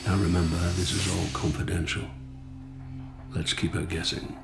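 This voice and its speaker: Low-voiced commanding corporate boss. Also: male voice, little pitch movement, moderate pace, gravelly. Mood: measured, confiding. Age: middle-aged. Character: middle-aged, commanding, calculating corporate boss. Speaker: corporate executive. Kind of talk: video game voice acting. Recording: long reverb under music.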